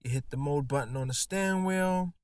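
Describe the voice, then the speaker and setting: A man's voice: on the high side, brisk, dry recording. A man giving a casual, hands-on installation tutorial in an informal, slangy, playful way. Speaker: car audio installer demonstrating a product. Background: music from a car stereo.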